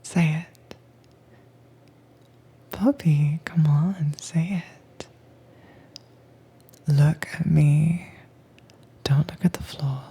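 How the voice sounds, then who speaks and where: Woman acting a breathy, whispering, dominant monster tamer. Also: sits low, dark tone, heavily acted. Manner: slow, coaxing, commanding. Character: calm, teasingly dominant woman who tames captive werewolves. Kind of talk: soft-spoken whispered roleplay audio, ASMR.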